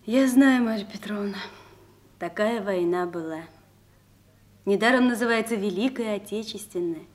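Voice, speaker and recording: A woman's voice: medium pitch, normal speed, natural voice. A woman playing an earnest, sympathetic younger woman in a war radio drama.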